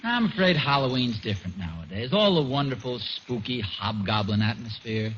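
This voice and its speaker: A man's voice: mid-range voice, lively intonation, normal speed, a bit bright, lightly acted. An American man playing a genial, slightly boastful suburban father in a 1940s radio sitcom. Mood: wistful, storytelling. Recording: muffled, noisy 1940s radio broadcast.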